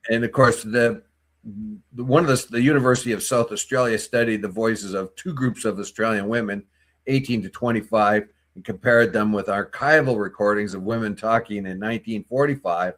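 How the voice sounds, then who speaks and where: A man co-hosting a morning news talk show, with a voice that sits on the low side. He talks through the news in a loose, opinionated ramble, often restarting his sentences. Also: a little fast, fairly bright tone. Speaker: co-host.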